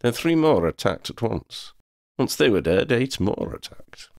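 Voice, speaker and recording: A man's voice: mid-range voice, big pitch swings, measured pace, creaky, darkish tone, no room sound, studio quality, voice pushed up. A man voicing a proud, lordly talking male cat in a storybook, with pompous, formal wording. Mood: sombre confession. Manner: pompous, formal wording with Scottish turns of phrase.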